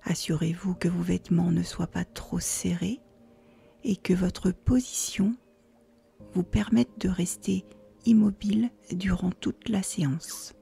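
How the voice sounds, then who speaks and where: A woman guiding a relaxation meditation in a soft, breathy near-whisper, calmly giving soothing instructions with pauses. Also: on the low side. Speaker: yoga nidra guide. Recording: faint music behind the voice.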